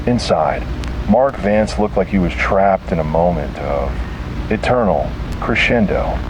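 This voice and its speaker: A man's voice: deep voice, expressive, measured pace, background noise. A man narrating a scripted first-person detective horror story.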